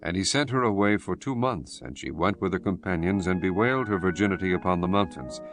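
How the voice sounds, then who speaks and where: A man narrating a dramatized scripture audiobook in a solemn, measured reading style. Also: low voice, animated, a bit bright. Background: music.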